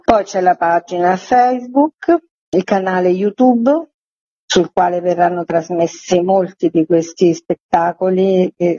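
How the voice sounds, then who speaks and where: A woman gives practical information as a radio guest. Her voice is mellow and husky, and she moves through details like a list. The sound is close, dry and a little dull. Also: fairly low pitch, dry recording. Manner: list-like, reading out web addresses. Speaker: museum representative guest. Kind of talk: informational radio interview answer.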